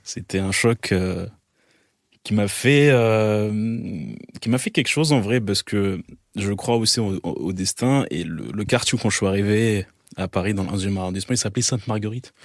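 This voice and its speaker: A man in his thirties from Algeria talks as a guest in a relaxed interview, often stopping and restarting his sentences. His voice sits low. The sound is dry, with almost no room around it. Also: normal speed, no room sound. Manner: hesitant, many fillers and restarts. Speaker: guest, photographer. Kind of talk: casual interview talk.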